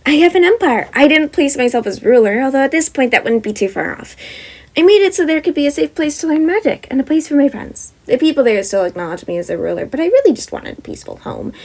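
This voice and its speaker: A woman voicing a cheerful young deer-elf wizard girl who runs an academy, talking brightly and eagerly. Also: a little high.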